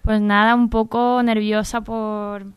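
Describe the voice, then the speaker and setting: A woman with a very clear, smooth voice in a dry studio sound. She chats through news items informally as a radio contributor, phrasing things haltingly. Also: fairly high pitch, little pitch movement, no echo.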